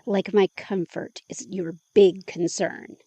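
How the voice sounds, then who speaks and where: An actress playing a curious, teasing young woman with a husky, gravelly voice in an ASMR audio drama, speaking close to the mic. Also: medium pitch, fairly even intonation, slow, warm tone, slight background noise, close to an everyday voice. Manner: teasing, playful, drawling.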